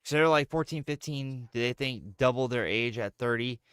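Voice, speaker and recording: A man's voice: mid-range voice, normal speed, clean tone, dry recording, studio quality. A man in his thirties, a gaming streamer chatting casually with jokes, swearing and playful banter. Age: 30s. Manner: joking, profane, animated banter. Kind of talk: casual chat while gaming.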